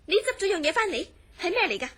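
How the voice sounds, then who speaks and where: An actress playing a curious, easily astonished woman in a horror radio drama, speaking in an expressive, questioning way. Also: very high-pitched, fast, heavily acted. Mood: curious, puzzled. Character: curious, astonished woman recounting a friend's strange dreams. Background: background music.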